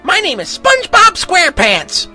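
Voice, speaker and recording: A man voicing an excitable, giggly talking cartoon sponge with a high, boyish, grating voice. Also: normal speed, soft background music, full character voice. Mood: gleeful. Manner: giggly, overeager.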